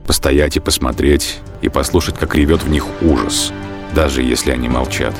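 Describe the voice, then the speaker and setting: Male narrator, extremely deep voice, grave measured literary delivery, faint music underneath, radio drama. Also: steady tone.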